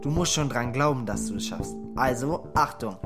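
An actor playing a confident, sporty sixteen-year-old big brother in a radio play, breezy and encouraging. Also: male voice, medium pitch, normal speed, loud music behind the voice, natural voice. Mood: playful.

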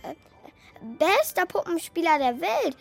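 Small wooden boy puppet: high, lively, earnest and hesitant, children's audio play. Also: measured pace, vocal fry, faint music behind, full character voice. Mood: pleading. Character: naive, pleading little wooden puppet boy. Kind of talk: children's radio play acting.

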